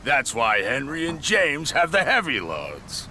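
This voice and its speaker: A man's voice: medium pitch, huge pitch swings, a little slow, bright, forceful tone, slight background noise, full character voice. A man voicing a grumpy, mocking dockside crane in a children's cartoon, grumbling and scornful. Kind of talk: children's cartoon character acting.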